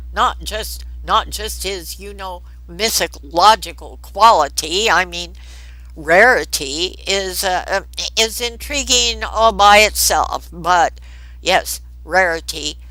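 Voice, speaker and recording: A pompous male intellectual as a woman narrator plays him holds forth in a storybook reading. The delivery is slow and bright, halting and theatrical as he muses and flirts. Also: mid-range voice, expressive, no room sound, low-quality recording. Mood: musing, halting. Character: rambling, musing, flirtatious man, pompous intellectual.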